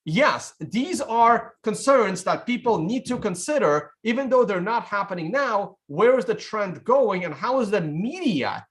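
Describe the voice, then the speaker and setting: A livestream channel late in its broadcast, where a man with a fairly high voice rambles haltingly through his commentary to viewers. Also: big pitch swings, a bit bright. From Soviet Union, now Russia; immigrated to America. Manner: halting, rambling, often punctuated with "okay?" and "right?". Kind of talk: unscripted livestream political commentary.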